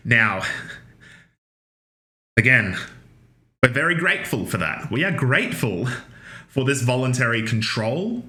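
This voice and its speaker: A man's voice: medium pitch, sing-song, a little slow, long pauses, sharp tone, roomy sound. A man giving a recorded university anatomy lecture, chatty and full of fillers, with joking asides. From Australia.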